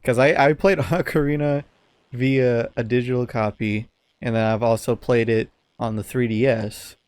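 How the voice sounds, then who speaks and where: A man's voice: medium pitch, steady tone, normal speed, a little mellow, clean tone, dry recording. A young man co-hosting a podcast in an informal, slightly sardonic conversational style. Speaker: podcast co-host. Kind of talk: casual podcast chat.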